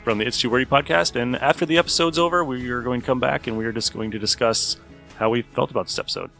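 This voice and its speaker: A man's voice: mid-range voice, fast talker, vocal fry, sharp tone, soft background music. A man hosting a podcast, introducing an old radio play in a loose, joking way. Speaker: podcast host.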